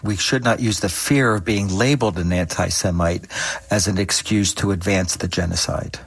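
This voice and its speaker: A man's voice: low voice, steady tone, normal speed, husky, dry recording. A middle-aged or older man, a surgeon answering questions in an interview. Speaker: interview guest, orthopedic surgeon.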